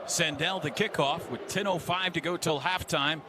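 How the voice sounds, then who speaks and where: A man's voice: on the high side, moderate pace. A man with an American accent calling football play-by-play on radio in clipped short phrases. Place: stadium broadcast booth. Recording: live radio sportscast with faint noise.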